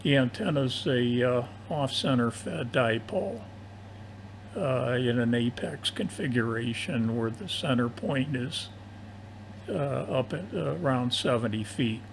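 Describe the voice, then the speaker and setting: An older man talks slowly and haltingly over AM ham radio, with a faint hiss under his voice. His delivery is casual, like one hobby operator passing the turn to another. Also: medium pitch, animated, gravelly. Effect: AM shortwave radio.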